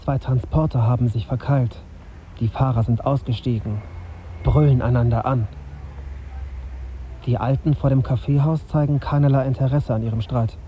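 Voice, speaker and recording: A man acting as a young journalist in a radio play, observant and probing. His voice is mid-pitched and very mellow, with wry, understated delivery over faint background noise. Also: steady tone, moderate pace, pauses often, lightly acted. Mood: detached, observant. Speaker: actor narrating and interviewing in radio drama. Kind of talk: literary first-person narration and naturalistic dialogue.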